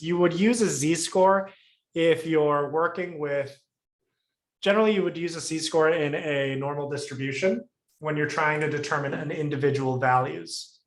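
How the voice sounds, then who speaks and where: A man's voice: fairly high pitch, echoey room. A male instructor on a video call walking through a coding lesson, thinking aloud with restarts and fillers. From Puerto Rico. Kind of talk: live coding lesson review.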